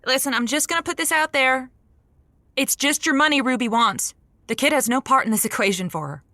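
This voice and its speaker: A woman's voice: sits high, brisk, sharp tone, no echo, studio quality. A woman playing a clingy, jealous and dramatic radio show co-worker in an audio comedy-drama. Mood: pleading, exasperated.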